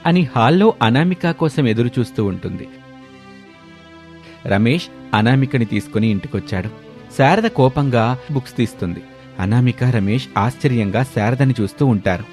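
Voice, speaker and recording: A man's voice: medium pitch, lively intonation, moderate pace, darkish tone, soft background music. A man narrating a scripted moral family story as a storyteller.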